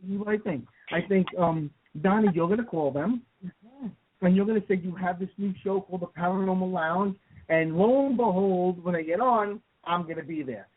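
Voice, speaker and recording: Stammering excitable male radio host. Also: sits high, a little fast. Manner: excitable, stammers and repeats words. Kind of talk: casual radio chat. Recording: dry tinny phone-like line.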